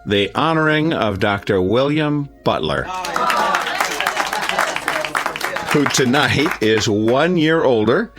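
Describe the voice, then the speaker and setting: An actor playing a genial, teasing middle-aged suburban neighbor who gives a wry, halting toast in a radio drama. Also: male voice, medium pitch, expressive, loud music behind the voice, over a noisy crowd, natural voice. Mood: jovial, mock-formal. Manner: hesitant, wry, informal speechmaking.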